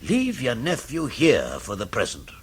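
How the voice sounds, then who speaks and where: An actor playing a bluff, genial English gentleman lawyer, hearty and courteous, in a British radio drama. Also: medium pitch, huge pitch swings, a little slow, breathy edge, heavily acted. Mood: jovial.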